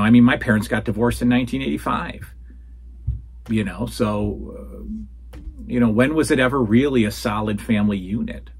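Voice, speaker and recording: A man's voice: medium pitch, a bit bright, slightly rough. A man in his forties hosting a solo show, talking casually and rambling from a home den, calling his listeners folks. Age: 40s. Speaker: podcast host answering listener questions. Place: home den full of retro toys and posters.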